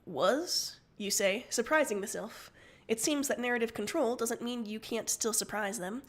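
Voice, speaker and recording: A woman's voice: fairly high pitch, moderate pace. A woman reading second-person fiction aloud as the narrator of a livestreamed story.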